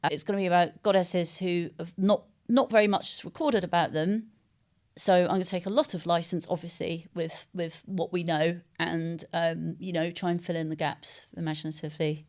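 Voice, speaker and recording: A woman being interviewed as a writer, speaking thoughtfully and haltingly in unscripted conversation as she searches for words. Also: on the low side, steady tone, a little mellow. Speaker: interviewed novelist.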